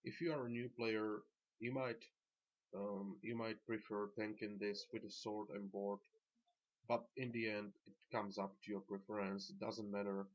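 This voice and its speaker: Casting: male game-guide commentator, Slovak accent, lowish voice, very even delivery, halting and plain-spoken, unscripted. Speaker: gamer presenting a dungeon tanking guide. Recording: dull lo-fi voice track.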